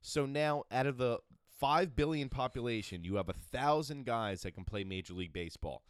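A man co-hosting a casual podcast, excitable and argumentative, swearing and rambling through his points. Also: mid-range voice, normal speed, dry recording, studio quality. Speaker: podcast co-host. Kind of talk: casual banter.

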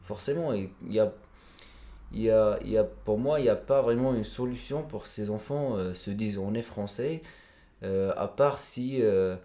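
A man's voice: fairly low pitch, moderate pace, mellow, roomy sound. A man talking as a podcast guest, earnest and reflective, explaining his views at length in a conversational interview.

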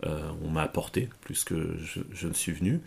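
Gravelly low-voiced man, hesitant. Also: normal speed. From Portugal. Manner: hesitant, many fillers and restarts. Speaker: guest. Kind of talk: conversational interview.

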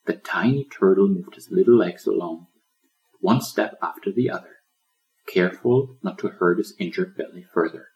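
A man's voice: deep voice, moderate pace, darkish tone, low-quality recording. A man reading a children's story as scripted audiobook narration. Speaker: author reading his audiobook.

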